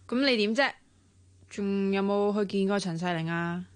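A mid-pitched, dry-sounding voice, a woman playing a young friend who doubts the plan and teases him. She speaks with a Hong Kong Cantonese accent in a radio drama. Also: moderate pace, smooth, no room sound, natural voice. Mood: doubtful, teasing. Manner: doubtful, teasing.

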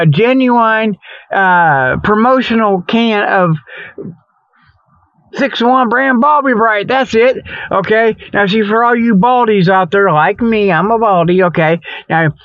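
A man hosting a comic radio report, rambling through a mock pitch in a folksy, colloquial way full of okays and you-knows. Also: dry recording. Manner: folksy, colloquial, frequent okay and you know. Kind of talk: rambling humorous monologue, mock charity pitch. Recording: dull lo-fi recording.

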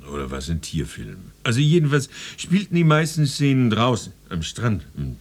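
An actor playing a tipsy, hungry, middle-aged family father in a radio drama, gravelly and husky, grumbling and rambling evasively. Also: mid-range voice, huge pitch swings, normal speed, exaggerated voice. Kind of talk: German radio drama acting.

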